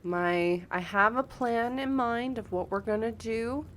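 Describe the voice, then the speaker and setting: A woman's voice: a little high, unhurried, fairly bright tone, a little hiss or hum. A woman giving casual, chatty live game commentary, talking informally to viewers and to the characters. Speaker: live streamer.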